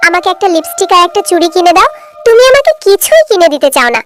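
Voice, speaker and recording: A woman's voice: extremely high pitch, sing-song, fast, music in the background. A woman voicing a wistful, kind little girl in a children's cartoon, with a pleading, childlike manner.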